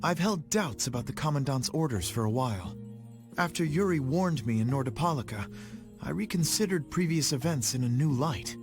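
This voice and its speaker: Guy doing earnest young knight, proper and measured, mid pitch, fantasy game dub. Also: expressive, music in the background, natural voice. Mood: solemn. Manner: formal, measured wording. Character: earnest, formal, dutiful young knight commander. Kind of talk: English game dub acting.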